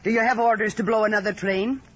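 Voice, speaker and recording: An actress playing a fierce, sharp-tongued, brave guerrilla woman in a vintage radio drama. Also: medium pitch, steady tone, fast talker, slightly rough, lightly acted. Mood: decisive. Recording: muffled old radio drama recording.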